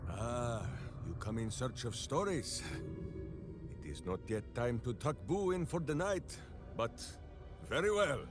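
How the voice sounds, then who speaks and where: An actor playing a big, simple-hearted heroic warrior in a video game, righteous and grandiose, speaking in a theatrical manner. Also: male voice, medium pitch, lively intonation, measured pace, music in the background, natural voice. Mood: storytelling. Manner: theatrical, grandiose, speaks of himself in third person. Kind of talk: video game character acting.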